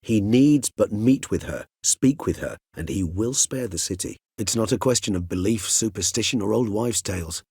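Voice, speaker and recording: An actor playing a courteous, erudite, world-weary centuries-old vampire in a video game, speaking in measured, eloquent, formal phrases. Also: male voice, lowish voice, lively intonation, darkish tone, breathy edge, no echo, clean studio sound. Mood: calm, earnest. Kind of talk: video game character dialogue.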